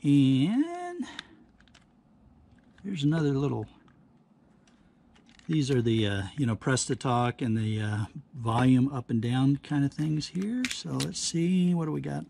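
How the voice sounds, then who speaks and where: A man giving casual hands-on demonstration commentary, halting and thinking aloud as he works at a workbench. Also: medium pitch, expressive, mellow. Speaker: host of a scrapping how-to channel. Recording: clear background noise, handling clicks.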